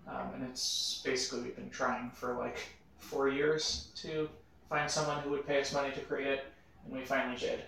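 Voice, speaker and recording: A man's voice: medium pitch, little pitch movement, normal speed, vocal fry, fairly bright tone. A man chatting casually as a podcast creator on a panel, recorded roomy and distant. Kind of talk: casual chat. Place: echoing room, away from the mic. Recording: roomy, distant mic with background noise.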